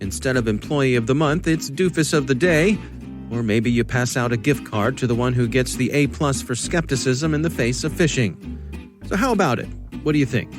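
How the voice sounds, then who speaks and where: An American man working as a podcast host, moving between scripted introductions and casual chat with a co-host. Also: mid-range voice.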